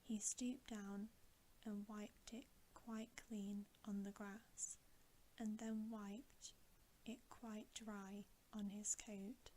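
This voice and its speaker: Female ASMR reader: hushed, breathy whisper, unhurried and mellow, for a bedtime storybook. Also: medium pitch, level tone, slight background noise. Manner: soft, slow, measured.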